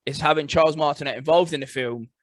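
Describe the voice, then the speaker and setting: Dry close recording, man, slightly high pitch, casual podcast talk, opinionated. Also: fast talker, dry recording. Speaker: podcast co-host. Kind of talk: casual chat.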